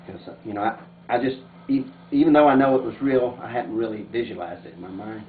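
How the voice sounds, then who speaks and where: A man telling stories and reading aloud informally among family, animated and often breaking into laughter. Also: mid-range voice, fast talker. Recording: muffled phone-like audio, echoey, distant, noisy room.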